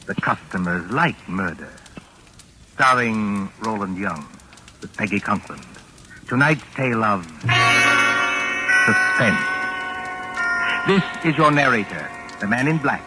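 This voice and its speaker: A man narrating a 1940s radio suspense show in a measured, deliberate, dramatic manner. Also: medium pitch, rough recording. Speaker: narrator and announcer. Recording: vintage radio broadcast with loud music. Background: music.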